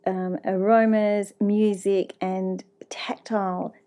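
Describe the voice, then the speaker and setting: Mellow-voiced woman interviewer. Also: mid-range voice, flat delivery, takes it slowly. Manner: gushing, encouraging. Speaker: interviewer, host. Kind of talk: casual interview.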